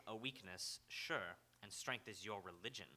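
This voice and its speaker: A man on stage plays a suave, sardonic ex-husband in a live radio play. His voice is fairly low with a hard, bright edge. He needles drily and leans on his words. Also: normal speed. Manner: dry, taunting, emphatic. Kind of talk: live radio theatre acting.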